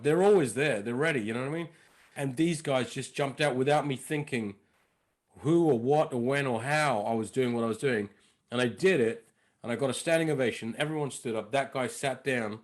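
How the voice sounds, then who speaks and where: A male comedian telling stories in a casual interview, lively, acting out the other people's lines. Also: medium pitch. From lives in North London. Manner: animated, acts out dialogue in put-on voices. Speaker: comedian and impressionist, guest.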